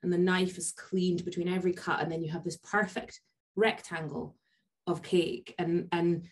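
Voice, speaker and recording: Low-voiced woman, 30s, podcast co-host, anecdotal, lots of ums, webcam audio. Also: level tone, normal speed. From Scotland. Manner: rambling anecdotes with many fillers. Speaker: podcast co-host from a family bakery. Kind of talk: casual video call chat. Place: indoor office with posters. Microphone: video call webcam.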